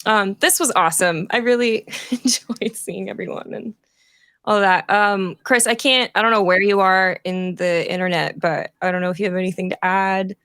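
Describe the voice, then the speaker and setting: A woman hosts an informal online chat about theater. She talks casually with a wry streak, at an average pitch for a woman. The sound is very dry, with no room around her voice. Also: fairly even intonation, moderate pace, a bit bright, smooth, no echo.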